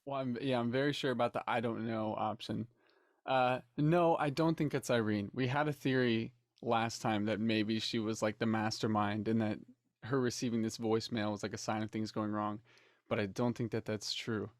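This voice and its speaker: A man's voice: lowish voice, steady tone, moderate pace, no room sound. Tentative man chatting, dry close sound. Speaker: cast member on a panel. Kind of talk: casual chat.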